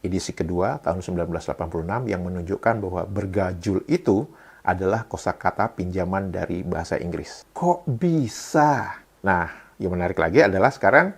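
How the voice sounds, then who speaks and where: Indonesian guy explaining stuff to viewers, low husky voice, asks rhetorical questions. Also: a little fast. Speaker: video host and commentator. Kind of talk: casual explanatory commentary to camera.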